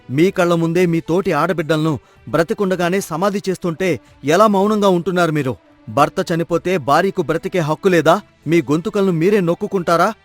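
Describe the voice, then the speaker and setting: Man voicing a resolute young storybook hero, higher-pitched. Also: lightly acted. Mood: impassioned. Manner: earnest, declamatory, emphatic. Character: heroic young man, resolute reformer, protective elder brother. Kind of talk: dramatised moral story.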